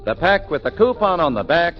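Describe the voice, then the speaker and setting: A man announcing a 1940s radio show with a polished, booming delivery. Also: big pitch swings, a little fast, over music. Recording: dull old radio broadcast.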